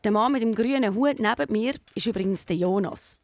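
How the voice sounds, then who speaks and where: A woman's voice: mid-range voice, a little fast, darkish tone, slight background noise. Swiss German woman presenting for kids. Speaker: presenter of a children's TV show. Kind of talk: reporting and casual interviewing for children.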